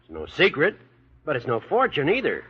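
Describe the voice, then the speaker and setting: Husky, excitable American working-class pal. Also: male voice, fairly high pitch, moderate pace, exaggerated voice. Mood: surprised, earnest. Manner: folksy, slangy, excitable. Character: startled, good-hearted working-class best friend. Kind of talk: 1940s radio drama acting. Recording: dull vintage radio recording.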